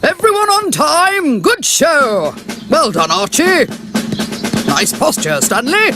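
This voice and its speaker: A hearty, bossy cartoon pet trainer. Her voice is high and leaps wildly up and down, yet she takes her time over each emphatic command. Music plays behind her. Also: sing-song, heavily acted. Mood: hearty, cheerful. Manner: brisk, emphatic, barks commands and clipped praise. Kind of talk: animated children's cartoon acting.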